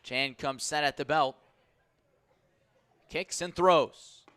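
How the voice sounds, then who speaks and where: A man's voice: fairly high pitch, slow pace, a bit bright, clean tone. A man calling baseball play-by-play for radio in clipped, punchy phrases, close on a broadcast mic. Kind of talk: live sports play-by-play commentary.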